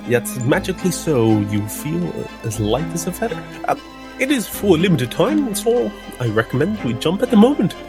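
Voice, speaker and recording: A man playing a tabletop roleplaying game, speaking in formal, articulate character and narrating his own actions with theatrical flair. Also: on the high side. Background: music under the voice.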